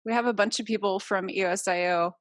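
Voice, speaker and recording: A woman's voice: mid-range voice, steady tone, fast talker, dry recording. Cheerful lady interviewer, giggly, dry close sound. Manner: upbeat, encouraging, giggly. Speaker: interviewer, host. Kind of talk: casual interview.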